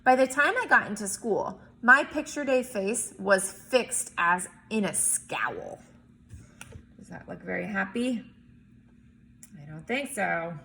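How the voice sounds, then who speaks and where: A woman reading a storybook aloud in an expressive, emphatic way, with chatty asides as if to young children. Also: mid-range voice, takes it slowly, gravelly, a little hiss or hum, rough recording. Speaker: teacher or reader reading a picture book aloud.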